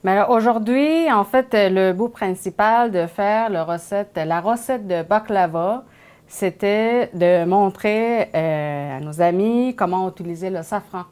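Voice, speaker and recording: A woman from Iran with a Persian accent, explaining cooking casually as a guest, in halting second-language phrasing. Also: medium pitch, darkish tone, clean tone. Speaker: guest home cook.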